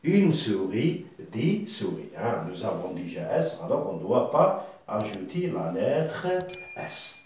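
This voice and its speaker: A man whose first language is Arabic, teaching a slow, deliberate grammar lesson, repeating words and checking that the class follows. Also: lowish voice, big pitch swings, darkish tone, a little hiss or hum. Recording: echoey room, far from mic.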